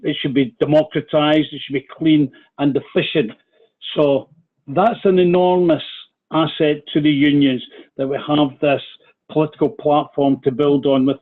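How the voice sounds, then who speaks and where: Scottish man on a tinny call line. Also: a little high. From Scotland. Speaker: union official. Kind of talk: call presentation.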